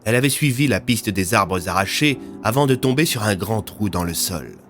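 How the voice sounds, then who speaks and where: A man's voice: on the low side, lively intonation, normal speed, a bit bright. A man reading scripted French audio fiction as its narrator.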